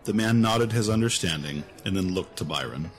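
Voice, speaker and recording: Deep-voiced male story narrator. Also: lively intonation, moderate pace, faint music behind. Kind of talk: scripted fantasy story narration.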